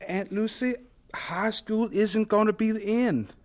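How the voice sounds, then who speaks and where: An actor playing an earnest, polite young American student in a radio drama, deferential and hesitant yet insistent. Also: male voice, sits high, a little slow. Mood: earnest, determined. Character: earnest, polite, determined young male student running laundry.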